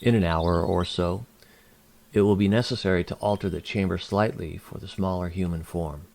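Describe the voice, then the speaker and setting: A man playing a courteous, diplomatic alien leader in an audio drama, speaking in a formal, measured and polite way. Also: low voice, fairly even intonation, vocal fry, darkish tone. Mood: polite, reassuring. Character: courteous alien leader, large, diplomatic and smoothly persuasive male. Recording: noticeable background noise.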